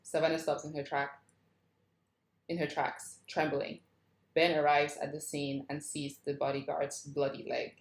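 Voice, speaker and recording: A woman's voice: deep voice, level tone, measured pace. A woman reading screenplay stage directions as a narrator at a table read. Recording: echoey room.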